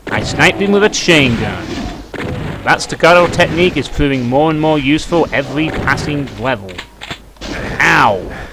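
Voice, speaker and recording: A man's voice: fairly high pitch, animated, normal speed. A British man giving casual, off-the-cuff commentary as he plays a game, wry and grumbling. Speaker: let's play commentator. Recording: noisy home recording, game sound behind. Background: shooter game gunfire and clicks.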